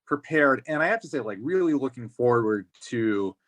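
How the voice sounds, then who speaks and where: Guy joking around on a podcast, middle pitch, teasing, acts all mock serious. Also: expressive, moderate pace, a bit bright. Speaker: podcast panelist. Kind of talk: casual banter.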